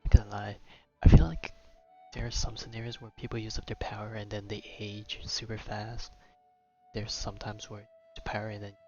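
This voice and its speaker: A man's voice: fairly low pitch, level tone, a little fast, mellow, muffled recording. A man giving casual live commentary as a streamer while he plays a game. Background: game music.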